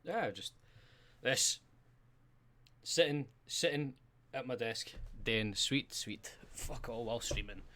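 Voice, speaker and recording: A Scottish man hosting a casual podcast, croaky from just waking up, jokey and teasing, laughing often. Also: medium pitch, slow pace, pushed and bright, a little hiss or hum. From Scotland. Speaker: podcast host. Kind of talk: casual live-streamed podcast chat.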